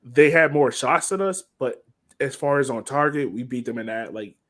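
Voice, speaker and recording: A man speaks casually as a sports podcast co-host. He works through a soccer match out loud in an informal, conversational way. His voice sits at an average male pitch. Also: moderate pace. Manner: informal, thinking aloud.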